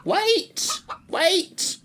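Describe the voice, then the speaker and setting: A man voicing an excitable, bossy female talking hen in an audiobook. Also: very high-pitched, drawn-out words, background noise, voice pushed up, heavily acted. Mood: commanding. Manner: abrupt, commanding. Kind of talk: audiobook character voice.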